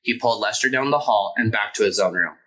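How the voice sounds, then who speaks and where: Bright-voiced man reading a picture book. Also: fairly low pitch, quick pace. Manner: expressive, emphatic. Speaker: storyteller. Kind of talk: children's picture book read-aloud.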